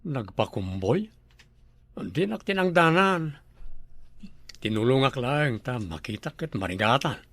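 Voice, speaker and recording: A man's voice: medium pitch, lively intonation, unhurried, long pauses, fairly bright tone, no room sound. Dry-voiced young Ilocano man. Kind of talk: comedy drama acting.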